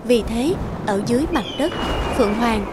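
Higher-pitched woman narrating a fairy tale. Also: lively intonation, normal speed, heavy background noise.

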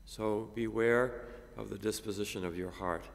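Older priest, gravelly, in a chapel. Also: male voice, fairly low pitch, fairly even intonation. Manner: measured, halting with many fillers. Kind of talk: gospel reading and homily. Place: cathedral chapel, at a lectern. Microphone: lectern microphone. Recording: long reverb of a chapel.

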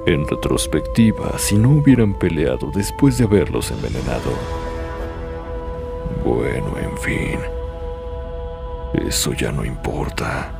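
A man's voice: rumbling low, sing-song, takes it slowly, creaky, long pauses, loud music behind the voice, full character voice. A man playing a cold, imperious demon lord in a Latin American Spanish anime fan dub, speaking with disdain. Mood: cold contempt.

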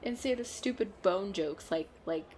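A woman playing a playful, excitable girlfriend in a chatty roleplay audio, with a bubbly, expressive delivery. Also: mid-range voice, normal speed, slight background noise, close to an everyday voice, low-quality recording. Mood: chatty, upbeat. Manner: bubbly, expressive, frequent giggles and exclamations. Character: playful, excitable, pleading girlfriend out costume shopping.

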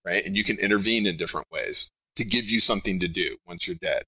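Loud-voiced man, bright and forceful. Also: deep voice, fast, breathy edge, dry recording, low-quality recording. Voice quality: big projecting voice. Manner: rambling, self-interrupting, animated. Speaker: game designer guest. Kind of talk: podcast interview.

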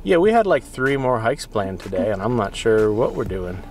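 A man's voice: lowish voice, very animated, moderate pace. A man around his thirties chatting casually to the camera as a travel vlogger, sitting inside a car.

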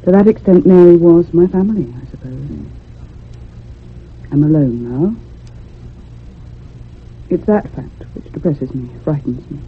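An actress playing a depressed, lonely woman writer in her thirties, speaking in a measured, confiding in a radio drama. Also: sits low, a little hiss or hum. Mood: downcast, confiding. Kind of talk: radio drama acting and first-person narration. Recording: muffled lo-fi old radio recording.

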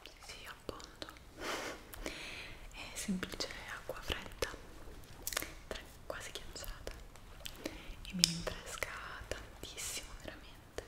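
Young woman doing asmr, real slow breathy whisper, soft and caring, close to the mic. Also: echoey room. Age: 20s. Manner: soft, soothing, unhurried. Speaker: ASMR creator.

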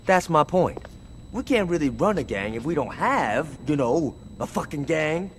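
A man's voice: on the high side, sing-song, normal speed, a little hiss or hum. A man voicing a brash, trigger-happy, wisecracking gangster sidekick in a video game, using sardonic, profane street slang. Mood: irritated, brash. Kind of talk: video game cutscene acting.

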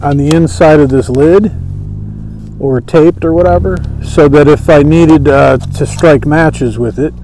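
Slow-talking, mellow man. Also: fairly high pitch, slight background noise. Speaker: bushcraft instructor and presenter. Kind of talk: casual show-and-tell demonstration. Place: outdoors at a table on a lawn by woods.

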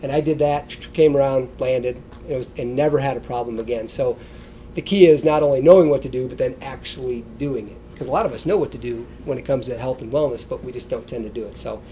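Congested, mellow middle-aged American man. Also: medium pitch, fast talker, a little hiss or hum, rough recording. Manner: conversational, loosely structured. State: congested, sniffly. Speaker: chiropractor giving a wellness talk. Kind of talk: informal seated lecture. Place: indoor stage beside a wooden lectern, seated.

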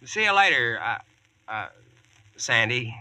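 An actor with an American accent playing a brash, slangy, wisecracking Yankee boss in a vintage radio drama. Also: male voice, mid-range voice, sing-song, pushed and bright, exaggerated voice. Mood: brisk, impatient. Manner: slangy, brisk, cocksure. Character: brash wisecracking modern Yankee man bossing King Arthur's court. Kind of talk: 1940s radio acting and first-person narration. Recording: noisy vintage radio recording.

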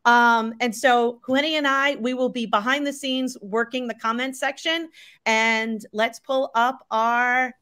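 A woman's voice: on the high side, steady tone, measured pace, pushed and bright, clean tone. A woman hosting a live webcast over a video call, welcoming guests. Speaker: host. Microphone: computer video call.

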